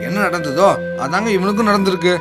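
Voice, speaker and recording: Man playing a high-voiced, deferential loyal servant. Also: fast, loud music behind the voice, close to an everyday voice. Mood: deferential, indignant. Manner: deferential, emphatic. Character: loyal, excitable male servant of a landowner. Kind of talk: Tamil radio drama acting.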